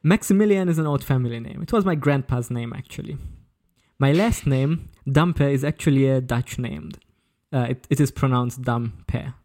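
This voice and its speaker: A man's voice: medium pitch, normal speed, darkish tone. A man presenting a story on a casual comedy podcast, partly reading from notes. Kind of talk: casual presentation with read-out excerpts.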